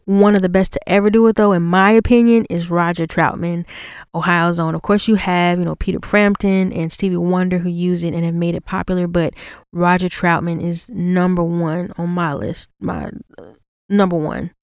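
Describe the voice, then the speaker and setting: A woman's voice: fairly low pitch, flat delivery, normal speed, clear, resonant tone, dry recording, clean studio sound. A woman hosting a podcast, talking casually and explaining things in a conversational way. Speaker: podcast host. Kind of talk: casual podcast talk with advice.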